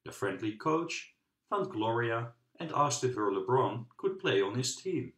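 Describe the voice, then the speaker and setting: Animated man reading a children's book, roomy sound. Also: mid-range voice, a little slow. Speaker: storybook reader. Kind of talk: children's book read-aloud narration.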